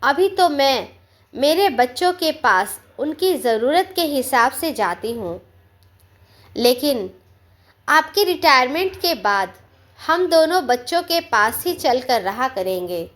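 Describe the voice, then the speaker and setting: A woman narrator plays a devoted, trusting older mother in a family story. Her voice is high and slow. She speaks with fond assurance. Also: expressive, fairly bright tone, full character voice. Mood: fond, hopeful.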